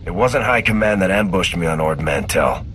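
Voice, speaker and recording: An actor playing a stern, principled army lieutenant in a video game, speaking with controlled resolve. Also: male voice, deep voice, lively intonation, normal speed, fairly bright tone, slight background noise. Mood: stern, firm. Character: stern, principled male soldier hero, a lieutenant.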